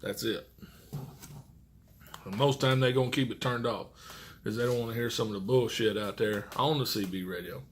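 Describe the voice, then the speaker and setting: A man's voice: medium pitch, moderate pace, long pauses, a little hiss or hum. American trucker guy just talking, blunt and sweary, folksy, rambles along casually like a vlog. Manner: blunt, profane, folksy vernacular. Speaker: truck driver giving tips as host.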